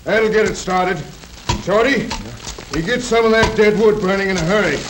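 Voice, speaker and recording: Dude playing a posse rider yelling orders, super high voice, loud hissy old film. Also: male voice, normal speed, close to an everyday voice. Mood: urgent. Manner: shouting orders, urgent. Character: gruff male posse rider on the trail. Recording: very hissy 1930s film soundtrack.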